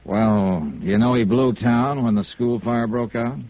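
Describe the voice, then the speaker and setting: An American actor playing a tough, blunt insurance investigator in a radio noir drama, clipped and direct. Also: male voice, mid-range voice, a little slow, dry recording, lightly acted. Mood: blunt, defiant. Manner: clipped, hard-boiled, direct. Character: tough, blunt male freelance insurance investigator, narrating his case. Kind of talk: 1950s radio noir acting, narration and dialogue. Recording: muffled old radio broadcast.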